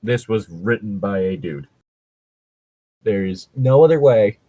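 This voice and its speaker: A man with a lowish, rough-edged voice reads fan fiction aloud and mocks it as he goes. His delivery is very animated, sweary and exasperated. He is a casual comedy co-host, recorded close and dry. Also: measured pace, dry recording, low-quality recording. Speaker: podcast co-host.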